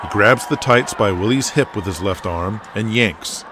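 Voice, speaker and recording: A man's voice: on the low side, normal speed, a crowd in the background. A man narrating a scripted audio drama. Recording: loud noise behind the voice.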